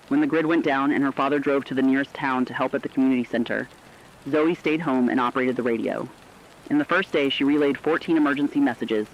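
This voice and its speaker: A man playing an earnest radio storyteller, narrating scripted human-interest reports in a deliberate way. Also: medium pitch, close to an everyday voice. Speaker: broadcast narrator. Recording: dull lo-fi radio sound.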